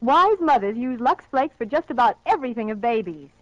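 A woman's voice: fairly high pitch, animated, brisk, no room sound. A woman presenting a scripted 1940s radio commercial in a neat, instructive way. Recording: dry, dull 1940s radio recording.

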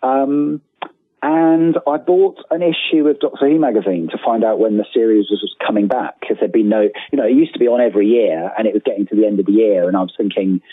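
British male guest, forties, husky, conversational, on a dry telephone-quality link. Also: on the low side, fairly quick, dry recording. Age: 40s. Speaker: guest author. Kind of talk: casual interview conversation. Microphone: remote call. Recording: thin phone-like call, dry.

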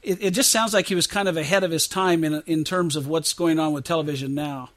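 A man's voice: fairly high pitch, a little fast, a bit bright, dry recording. A man hosting a podcast and interviewing in a conversational style. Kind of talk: conversational radio-history interview.

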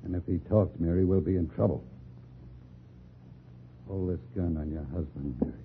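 An American actor playing a mocking, menacing hired thug who poses as a cop, in 1950s radio drama style. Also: male voice, sits low, normal speed, close to an everyday voice. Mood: coolly menacing. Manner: sardonic, coolly threatening. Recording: muffled, noisy old radio recording.

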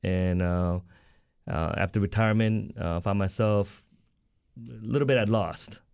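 A man's voice: lowish voice, a little slow, vocal fry, a little mellow, clear, resonant tone, no echo. A middle-aged man from Southern California, born in South Korea, speaking politely and earnestly as an interview guest about himself. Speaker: guest, retired army chaplain. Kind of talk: interview, telling own story.

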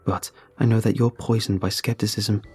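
A man voicing a calm, earnest young Eagle Scout in a spooky storybook tale, with a low, gravelly, husky voice. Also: moderate pace, soft background music. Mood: sincere.

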